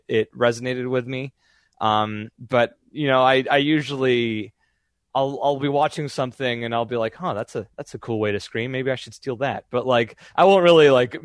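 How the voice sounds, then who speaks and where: A man's voice: mid-range voice, dry recording, studio quality. A young man in casual interview chat about voice acting, rambling with many fillers and restarts. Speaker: voice actor guest.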